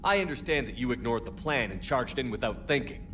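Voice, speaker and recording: An actor playing a blunt, firm military commander in a video game, challenging those around him. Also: male voice, medium pitch, expressive, a little fast, noisy background, natural voice. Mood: frustrated, firm.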